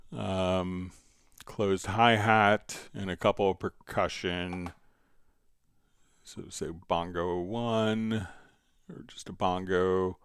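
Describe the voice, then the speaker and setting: A man with a slightly low, smooth voice hosts a casual software tutorial. He explains each step in an easygoing way. The sound is dry and close, with some music behind him. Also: no echo. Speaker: live stream host and music software instructor. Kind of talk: casual software tutorial, answering viewer questions.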